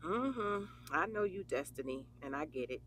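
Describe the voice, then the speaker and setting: An actress playing a brash, bawdy but loyal young best friend, blunt and teasing, in an audio drama. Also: female voice, fairly low pitch, very animated, normal speed, fairly bright tone, slight background noise, low-quality recording. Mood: caring, frank. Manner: sassy, blunt, foul-mouthed, teasing. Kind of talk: casual conversational audio drama.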